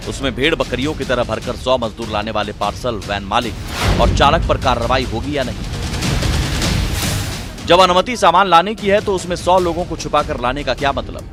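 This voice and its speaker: A man narrating a news report in a dramatic, emotive and theatrical scripted style. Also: mid-range voice. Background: loud background music.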